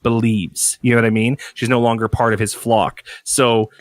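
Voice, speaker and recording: A man chatting casually as a podcast host, sketching out story ideas in an animated, sweary way. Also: medium pitch, moderate pace, dry recording. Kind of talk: casual brainstorming chat.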